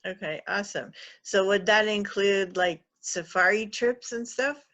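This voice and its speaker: A woman's voice: medium pitch, unhurried, a bit bright, dull audio. A woman hosting an interview, upbeat and enthusiastic as she asks her guest questions. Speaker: interview host. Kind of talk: casual interview.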